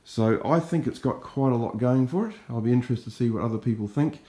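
A man's voice: medium pitch, quick pace, dark tone. New Zealand man, casual fishing vlogger. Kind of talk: casual vlog commentary.

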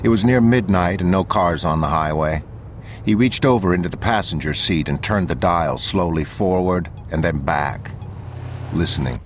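A man narrating an audiobook in a measured, unhurried reading. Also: sits low, slight background noise.

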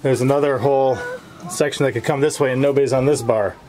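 A man's voice: medium pitch, fairly even intonation, quick pace. A man in his forties vlogging on his farm beside a smokehouse, talking chattily to his viewers. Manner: upbeat, chatty, addresses viewers as guys. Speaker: homesteader vlogger. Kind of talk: casual vlog narration to viewers. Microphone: handheld camera close to face. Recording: loud outdoor noise.

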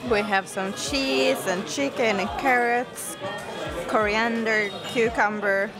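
A woman's voice: a little high, fairly even intonation, takes it slowly, over music, a crowd in the background. A young woman from Finland chatting casually in a travel vlog, bubbly and full of "like" fillers, talking to a camera held at arm's length outdoors. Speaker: travel vlogger. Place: rooftop terrace outdoors, later busy street. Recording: outdoor traffic noise behind. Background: traffic and street ambience.

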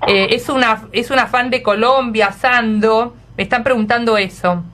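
An Argentine woman works as a radio interviewer, keeping things conversational. Her voice sits a little higher than average for a woman. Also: fairly even intonation, moderate pace, a bit bright.

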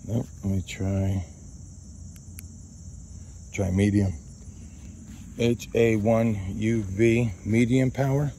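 A man's voice: fairly low pitch, fairly even intonation, slow, long pauses, dark tone, slight background noise. A man hosting an outdoor radio range test, repeating test calls and calling to his dog in an open field, recorded on a body-worn camera. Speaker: radio hobbyist host.

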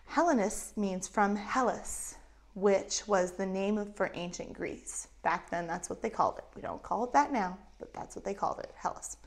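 A woman in her thirties with a lapel mic, telling a Bible story to children in an animated way with acted-out voices. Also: medium pitch, normal speed. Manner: animated, acts out voices of characters. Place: indoors in front of a map backdrop.

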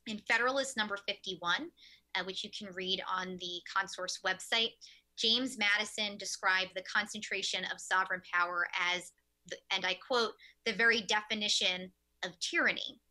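A young woman speaks into a desk webcam from an office, delivering an earnest prepared talk to a room of teachers. Her voice is sharp and very bright, sitting at an ordinary pitch. She leans on the odd "uh" while working through her notes. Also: fairly even intonation, moderate pace, poor audio. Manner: earnest, earnest lecturing with fillers. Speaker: keynote speaker. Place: office with window blinds, indoors.

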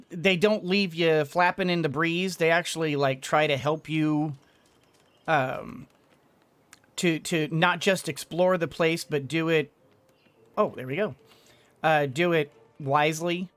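Slow-talking man muttering through gameplay. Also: on the high side, fairly bright tone, no room sound. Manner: muttering to self while playing. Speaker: game streamer. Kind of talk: casual gameplay commentary. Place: indoor room at a desk.

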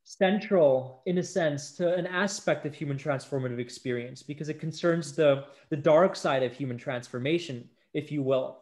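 A man's voice: on the high side, moderate pace, hardly any pauses, darkish tone. A man in his thirties hosting a conversational podcast over a video call, with hesitant, self-correcting phrasing. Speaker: podcast host. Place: indoor room at home, video call.

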